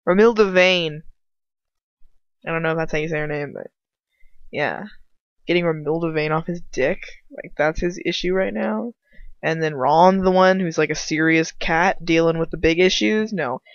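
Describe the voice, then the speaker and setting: A woman's voice: deep voice, moderate pace, no room sound. A woman commenting casually on a story in a rambling, blunt way, sarcastic and exasperated, swearing freely. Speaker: amateur fan fiction reader and commentator. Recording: dry, dull lo-fi home recording.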